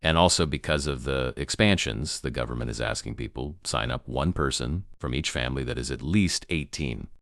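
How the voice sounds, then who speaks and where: Man performing a grave doomsday newscaster, rock-bottom pitch, creaky, halting, ominous, amateur broadcast drama. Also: fairly bright tone, dry recording, studio quality, heavily acted. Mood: somber. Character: grave male emergency news broadcaster warning of apocalypse. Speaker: news anchor. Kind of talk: amateur emergency broadcast narration.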